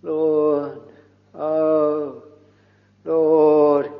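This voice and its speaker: A man leading a slow, pleading extempore prayer from the pulpit, intoning in old-fashioned English with many interjections of "oh" and "Lord". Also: on the high side, monotone. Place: church or chapel. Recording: dull lo-fi recording with long hall reverb.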